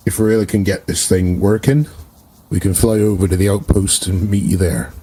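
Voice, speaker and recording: A man with a deep, mellow voice plays a tabletop role-playing game. He talks in character, and there is faint noise behind his voice. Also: steady tone, normal speed, low-quality recording.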